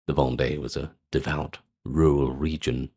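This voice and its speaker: A man narrating a scripted history lecture in an ASMR style, measured and explanatory, with brief asides. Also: extremely low pitch, steady tone, mellow, husky.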